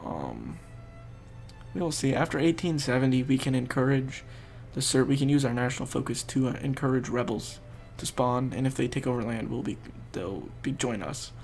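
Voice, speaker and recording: Young male gaming host, congested and gravelly, rambling play-by-play. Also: mid-range voice, lively intonation, normal speed, darkish tone, soft background music. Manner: rambling, thinking aloud while playing. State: congested, losing his voice. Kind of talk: casual gameplay commentary.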